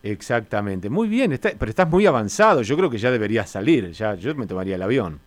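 A man's voice: on the low side, big pitch swings, fast talker, no echo. A man with a Rioplatense Spanish accent chatting casually, joking and rambling as he explains things. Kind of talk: casual comic banter.

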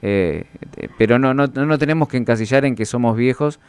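A man's voice: medium pitch, fairly quick, darkish tone, clear, resonant tone, dry recording. A man in his forties with an Argentine accent, interviewing casually in a tentative, roundabout way. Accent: Argentine Spanish. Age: 40s.

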